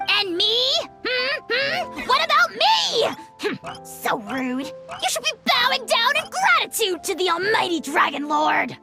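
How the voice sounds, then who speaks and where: Squeaky, pompous little dragon lord. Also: female voice, very animated, measured pace, pushed and bright, full character voice. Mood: indignant, demanding. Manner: pompous, mocking, theatrical. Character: tiny boastful, bratty self-proclaimed almighty dragon lord. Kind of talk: video game character acting. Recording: music behind the voice.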